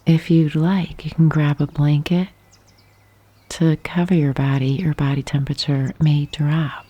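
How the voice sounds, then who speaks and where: A woman guiding a yoga nidra meditation in a soft, breathy half-whisper, gently and calmly, with long pauses. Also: sits low. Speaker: meditation guide. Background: birdsong and nature sounds.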